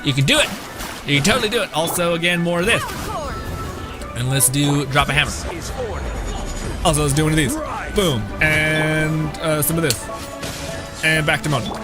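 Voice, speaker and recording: A man giving casual, reactive gameplay commentary as a streamer, excitable, slangy and quick to swear. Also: a little high. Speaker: gaming streamer. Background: game music.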